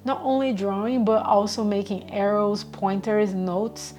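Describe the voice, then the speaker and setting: Mellow-voiced woman vlogging gently. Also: medium pitch, a little slow. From Brazil. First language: Portuguese. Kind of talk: casual reflective monologue.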